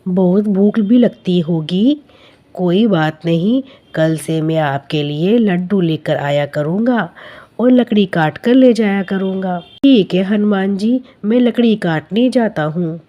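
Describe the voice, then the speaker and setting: A woman's voice: medium pitch, slow, warm tone, lo-fi sound, close to an everyday voice. A woman voicing an earnest, naive young male woodcutter in a cartoon story. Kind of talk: Hindi cartoon story dubbing.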